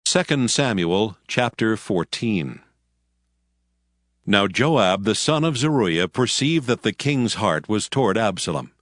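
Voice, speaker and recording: A man's voice: on the low side, lively intonation, slow pace, fairly bright tone, dry recording, studio quality. A man giving a solemn scripted narration of scripture in archaic English. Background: faint music.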